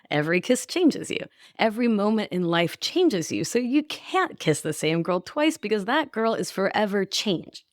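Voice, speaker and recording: A woman's voice: mid-range voice, no room sound, clean studio sound. A woman from Argentina, a life coach hosting her own podcast, teaching in a playful, affectionate way. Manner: playful, affectionate, laughs often.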